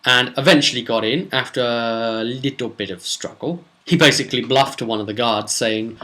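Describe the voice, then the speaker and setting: A man speaking as a game master, casually retelling a role-play session with emphatic, drawn-out words for suspense. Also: medium pitch, pushed and bright. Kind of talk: casual spoken storytelling recap.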